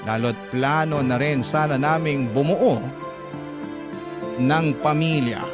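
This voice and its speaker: A man's voice: on the high side, slow. A man hosting a radio love-story show, narrating scripted letters in a dramatic storytelling style. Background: background music.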